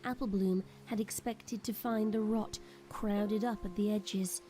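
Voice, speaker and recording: A woman's voice: mid-range voice, fairly even intonation, a little slow, mellow, slight background noise. A woman reading scripted narration for an audio drama. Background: faint background music.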